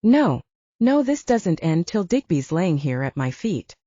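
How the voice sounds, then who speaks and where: A woman's voice: on the low side, big pitch swings, unhurried, dark tone, dry recording, muffled recording. A woman voicing a hard, unyielding male werewolf leader in an audiobook, stern and cutting in manner. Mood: adamant. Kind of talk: audiobook character dialogue.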